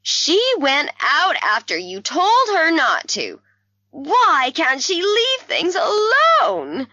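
A woman's voice: big pitch swings, slow pace, sharp tone, muffled recording, exaggerated voice. A woman voicing an upset, exasperated older mother complaining in a wail in an audiobook mystery. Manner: high, wailing complaint.

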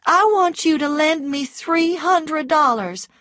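A woman's voice: sits high, animated, takes it slowly, fairly bright tone, dry recording, clean studio sound, pitched above the performer's own voice, full character voice. A woman voicing an anxious, coquettish young Southern woman who pleads sweetly in an audiobook story. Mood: sweetly pleading.

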